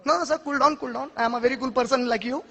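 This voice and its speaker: A man's voice: very high voice, racing, vocal fry, a bit bright. A man whose first language is Urdu, answering an audience question in a public lecture, emphatic and challenging, with rhetorical questions. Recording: long hall reverb.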